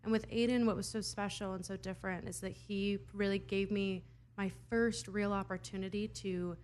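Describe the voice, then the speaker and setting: A woman's voice: mid-range voice, steady tone, normal speed, a little mellow. Earnest young woman from Idaho. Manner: earnest, thoughtful, laughs between answers. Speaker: singer-songwriter guest. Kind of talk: interview answers.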